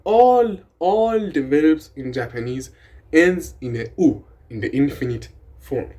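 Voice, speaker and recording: A man's voice: a little high, animated, slow, dark tone. A young man whose first language is Persian, casually explaining language grammar in a rambling, swearing, self-deprecating way. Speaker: language video creator.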